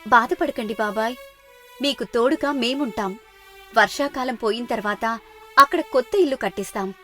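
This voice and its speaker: A woman acts out an unafraid elder sister in a moral tale. She speaks high, with soothing confidence and firm care, over music. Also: moderate pace, pushed and bright. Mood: comforting. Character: reassuring thirty-year-old elder sister, caring, firm, unafraid. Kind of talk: moral story character dialogue.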